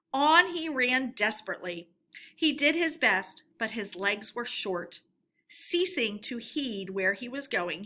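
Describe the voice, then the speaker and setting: A woman storyteller reading a storybook aloud in an expressive narrating style. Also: a little high.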